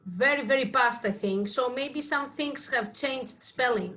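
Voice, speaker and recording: Woman, somewhat high voice, casual discussion, tentative, self-correcting. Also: unhurried, fairly bright tone. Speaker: discussion participant.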